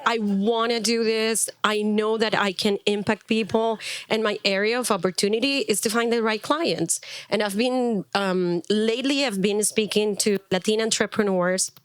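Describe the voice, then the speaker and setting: A middle-aged woman, a native Spanish speaker, introducing herself to a group as a wellness coach in a chatty, animated, self-deprecating way. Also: mid-range voice, normal speed, smooth, no echo. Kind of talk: informal spoken introduction before a live group.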